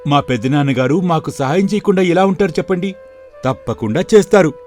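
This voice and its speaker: A man acts out a poor young shop clerk from a moral tale. His voice sits a little high and his manner is respectful and sincere. Soft music plays underneath. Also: expressive, fairly quick, natural voice. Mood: polite, earnest. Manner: polite and deferential. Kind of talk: dramatized moral story for video.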